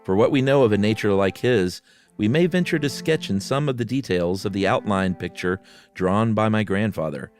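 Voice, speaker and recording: A man with a fairly low voice co-hosts a podcast, reading a horror tale aloud and chatting casually about it. Soft guitar music plays faintly behind him. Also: normal speed. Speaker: podcast co-host. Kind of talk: dramatic reading of a horror short story with casual asides.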